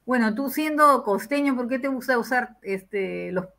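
A woman's voice: on the high side, expressive, normal speed, creaky, heavy background noise. An older woman with a Peruvian accent, hosting a casual interview over a video call from a room at home. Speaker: host. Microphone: computer or webcam on a video call.

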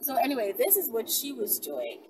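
A young adult woman chatting casually to her viewers like a vlogger, animated and conversational, often checking in with short tags. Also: high-pitched, a little fast. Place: inside a car in daylight. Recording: distant mic, noisy car interior.

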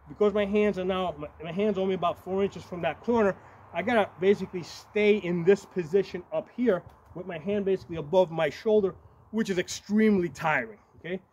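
A man's voice: high-pitched, a little mellow, lo-fi sound. A man in his forties giving a casual, hands-on demonstration to camera outdoors, explaining step by step and often checking in with "right?" or "okay?". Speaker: medieval combat fighter demonstrating shields. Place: outdoors on a gravel yard by woods. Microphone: camera a few metres away. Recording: noisy outdoor camera audio.

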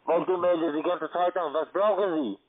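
A German speaker, a man working as a call-centre agent, talking curtly and insistently in halting phrases over the telephone. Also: fairly high pitch, crowd noise. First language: not German. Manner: curt, insistent, in halting non-native phrasing. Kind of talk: phone sales call, argumentative. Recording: tinny narrow phone line.

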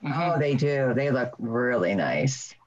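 A middle-aged woman with a low voice runs a small meeting informally. Her pace is slow, and the video call leaves her sounding slightly dulled. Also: lively intonation, a little mellow, slight background noise. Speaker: board chair running meeting. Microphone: computer mic on video call. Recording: muffled video call audio.

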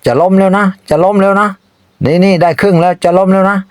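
A sly little folk-tale turtle, played by a man telling the story. The voice sits high and dry, boastful, calling out the same goads over and over. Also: flat delivery, fast, clear, resonant tone, no room sound, lightly acted. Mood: taunting. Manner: calling out, repetitive goading. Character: crafty, boastful little turtle taunting a tiger.

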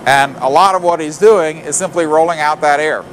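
A man's voice: a little high, normal speed. Man guiding a noisy factory tour. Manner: plain explanatory, with frequent fillers and restarts. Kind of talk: walking tour narration. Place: loud factory floor. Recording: factory noise behind voice.

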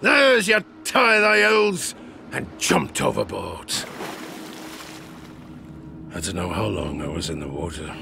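Gravelly, theatrical old sailor. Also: male voice, lowish voice, lively intonation, slow, long pauses, noisy background, exaggerated voice. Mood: furious, roaring. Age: older. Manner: salty sailor's dialect, chuckling, theatrical asides. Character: grizzled old harpooner spinning a tavern sea tale, boastful, haunted. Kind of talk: dramatic audio drama storytelling.

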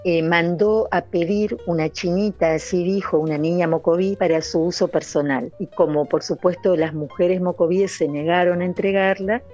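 Low-voiced woman, hesitant. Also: a little mellow. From Santa Fe, Argentina. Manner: earnest, with many hesitant "eh" fillers. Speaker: novelist guest. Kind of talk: interview over a call. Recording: dull, lo-fi remote audio.

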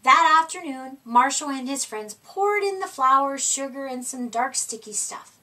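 A middle-aged woman reading a children's picture book aloud in an expressive, theatrical way with deliberate phrasing. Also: sits high, recorded from a distance. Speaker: storyteller. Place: small indoor room with decorated wall.

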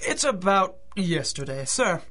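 A man's voice: high-pitched, sing-song, measured pace, pushed and bright, heavily acted. A man playing a nervous, deferential chauffeur in a 1930s-style radio drama, hesitant and stammering. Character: nervous, deferential male chauffeur to a rich employer.

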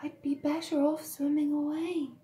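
A woman voicing a small, shy, sad male ugly duckling in a children's storybook reading, speaking softly and plaintively. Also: high-pitched, little pitch movement, normal speed, slight background noise, voice pushed up, close to an everyday voice. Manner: soft, timid and plaintive.

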